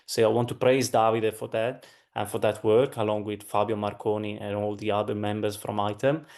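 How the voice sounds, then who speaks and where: A man's voice: fairly low pitch, little pitch movement, a little fast, a little mellow. A man co-hosting an online webinar, informally introducing a guest speaker.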